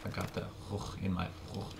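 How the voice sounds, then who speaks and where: A man with a raspy voice, casually commenting while he plays a game, joking and muttering asides. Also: moderate pace. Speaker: gameplay commentator. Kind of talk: casual let's play commentary. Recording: noisy home recording with game sounds.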